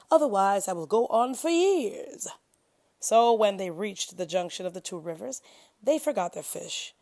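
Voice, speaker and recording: A woman giving an expressive, solemn and dramatic reading of translated scripture, with emphatic phrasing. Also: on the high side. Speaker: scripture reader.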